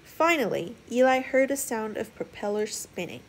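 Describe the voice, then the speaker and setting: A woman's voice: fairly high pitch, animated, moderate pace. A woman storyteller reading a children's picture book aloud as scripted narration.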